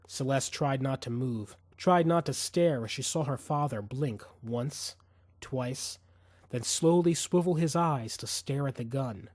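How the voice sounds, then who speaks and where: A man's voice: medium pitch, unhurried, darkish tone, dry recording. A man narrating fiction as a dramatic audiobook reader, intense and suspenseful.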